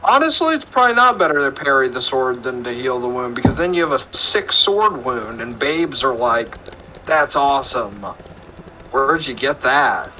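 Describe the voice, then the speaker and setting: A man competing in a casual online trivia show, trading joking, animated banter. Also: medium pitch, normal speed, slight background noise, low-quality recording. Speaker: trivia contestant.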